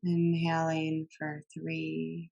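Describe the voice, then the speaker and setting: A woman leads a guided meditation with a slightly low, gravelly voice full of vocal fry. She gives calm, gentle instructions very slowly, leaving long pauses between cues. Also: muffled recording.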